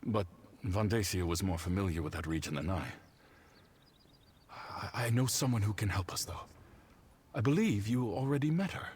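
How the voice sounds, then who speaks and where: Weary, very husky male councillor. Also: on the low side, a little mellow. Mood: grave, weary. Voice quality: husky, rough voice. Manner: grave, measured, formal. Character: weary, shaken male councillor betrayed by a colleague. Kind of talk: video game character dialogue.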